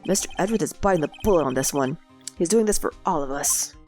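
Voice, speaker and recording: Woman doing a goofy loyal cop guy, says pal a lot, lowish voice, swoopy, kinda stuffy from a cold. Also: lively intonation, fast, a little mellow, soft background music. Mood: earnest. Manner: folksy, calls people pal. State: sick with a cold.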